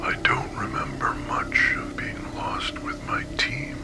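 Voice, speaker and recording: An actor playing a traumatized, weakened male survivor giving halting, breathy testimony in an analog horror story. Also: close to an everyday voice. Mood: haunted, grim. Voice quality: breathy, whispery. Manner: slow, halting, haunted. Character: traumatized male survivor of a monstrous captivity, haunted and weak.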